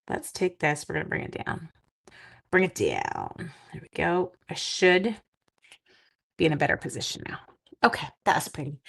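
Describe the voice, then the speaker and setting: Sleepy woman doing a stamping demo on a live stream, lowish voice, chatty, lots of little side comments to chat. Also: a bit bright. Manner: chatty, talks to viewers, makes playful sound effects. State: groggy, sleepy. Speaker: craft live stream host. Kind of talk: casual crafting demo with chat.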